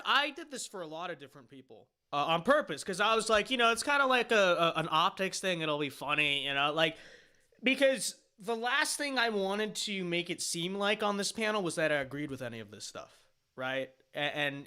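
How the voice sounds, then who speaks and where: A man's voice: high voice, sharp tone. A man streaming a reaction to his own panel debate, rambling and opinionated, with lots of fillers and restarts. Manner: emphatic and dismissive. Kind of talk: livestream reaction commentary.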